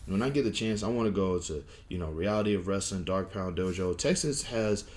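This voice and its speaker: A man's voice: low voice, animated, a little fast, a little mellow. Rambling young man with a lisp. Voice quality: lisp and stutter. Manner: rambling, many fillers and restarts. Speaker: wrestling vlogger. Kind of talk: casual opinion monologue.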